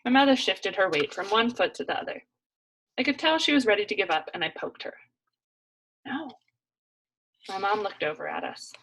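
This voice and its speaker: A woman narrating a children's story as a read-aloud, in an expressive storytelling style. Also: mid-range voice, quick pace, rough recording.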